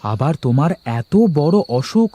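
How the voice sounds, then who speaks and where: A man's voice: fairly high pitch, sing-song, a little slow, mellow, slightly rough, full character voice. A man voicing a humble, earnest young village farmer who exclaims a lot in a cartoon story. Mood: earnest, relieved. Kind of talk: animated moral-story dubbing.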